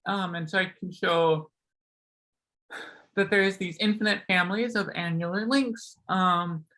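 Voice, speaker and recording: A man's voice: sits high, a little slow, bright, forceful tone, low-quality recording. A young man with a slightly sore voice giving a casual math seminar talk, full of ums and likes. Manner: casual, many ums and likes, self-correcting. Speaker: seminar speaker.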